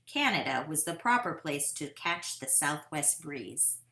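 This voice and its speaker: A woman voicing an eager, determined boy who tells his own kite-flying tale in a dramatic, deliberate picture-book read-aloud. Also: low-pitched, sing-song, bright, forceful tone, voice pushed down, heavily acted. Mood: excited, proud. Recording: roomy, echoing sound.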